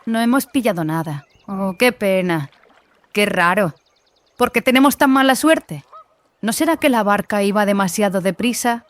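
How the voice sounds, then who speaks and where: A woman's voice: mid-range voice, lively intonation, natural voice. Woman acting a haughty, sarcastic young sorceress. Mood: mock sweet. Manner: mocking, deliberate, mock-sweet. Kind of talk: acted audiobook dialogue.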